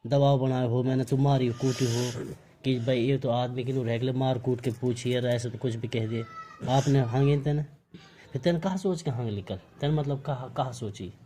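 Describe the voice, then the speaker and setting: A man's voice: mid-range voice, steady tone, normal speed, dark tone, a little hiss or hum. A man with a Mewati accent argues reproachfully in a private phone conversation, asking one pointed question after another. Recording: amateur phone call recording.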